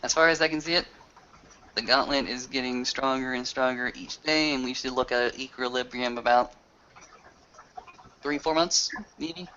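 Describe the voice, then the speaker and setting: Male tabletop roleplayer, forceful and colloquial, swears casually, dull noisy home recording. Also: mid-range voice, steady tone, normal speed, long pauses. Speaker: game master. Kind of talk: in-character tabletop roleplay with narration. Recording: muffled audio with noticeable background hiss.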